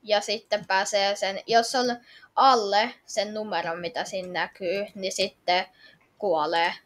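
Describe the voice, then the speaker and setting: A woman chatting casually while playing an online game with others, excitable and often repeating short phrases and exclamations. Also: medium pitch.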